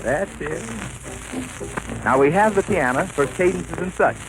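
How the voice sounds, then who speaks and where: A man's voice: a little high, lively intonation, a little slow, music in the background, low-quality recording. A man performing novelty song patter as a bandleader on a 1930s radio broadcast. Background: loud dance band instruments.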